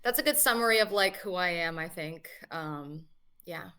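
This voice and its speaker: A young woman from New England, a guest musician answering interview questions in a relaxed, chatty way with many fillers, over a video call. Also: on the low side, moderate pace. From New England, USA.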